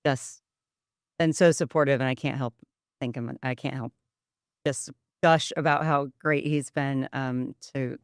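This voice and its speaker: A woman guest on a TV interview, praising warmly in halting, gushing phrases. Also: a bass voice, no echo, clean studio sound. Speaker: volleyball program guest.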